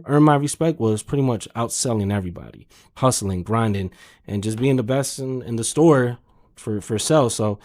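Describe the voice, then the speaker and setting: A man's voice: mid-range voice, darkish tone. Slangy New man telling stories. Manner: slangy, emphatic, swears freely, peppers talk with "man" and "you know". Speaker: podcast guest, car sales manager. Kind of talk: casual conversational storytelling.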